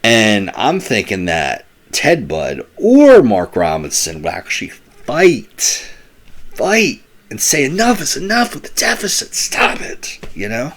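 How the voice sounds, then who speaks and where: A man giving opinionated, casual political commentary, slightly hoarse, often restarting his sentences. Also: medium pitch, takes it slowly. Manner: animated, opinionated, with frequent restarts.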